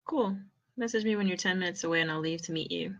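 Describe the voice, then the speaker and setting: Girl playing nervous teasing young guy, fan drama, lowish voice, casual n clipped, super dry sound. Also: quick pace, dry recording, dull audio, lightly acted. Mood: awkward excitement. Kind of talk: amateur fan fiction audio drama.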